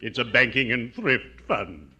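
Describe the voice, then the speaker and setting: An actor playing a morbidly genteel, joking undertaker with formal, mock-solemn delivery in 1950s radio comedy style. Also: male voice, medium pitch, lively intonation, a little slow, pushed and bright. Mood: gleefully macabre. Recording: noisy vintage radio recording.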